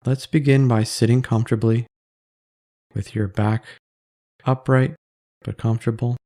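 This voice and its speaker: A man leading a guided meditation in a soft-spoken, measured, calming way with pauses between phrases. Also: mid-range voice, dry recording, studio quality.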